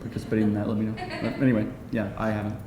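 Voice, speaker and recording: A man speaking casually as a screenwriter at a live Q&A, halting, joking and self-deprecating. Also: flat delivery. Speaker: screenwriter answering audience questions. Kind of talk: casual, unscripted Q&A talk. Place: live event room with audience. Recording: echoey live room, noisy rumble.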